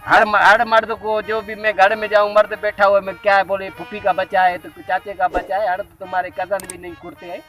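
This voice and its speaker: A man's voice: high-pitched, flat delivery, a little fast, few pauses, pushed and bright, faint music behind. A man playing a luckless, rambling bachelor in a comedy skit, complaining animatedly about his search for a wife. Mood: opinionated. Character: luckless, grumbling bachelor desperately hunting a wife by phone. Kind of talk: improvised comedy skit, casual chat.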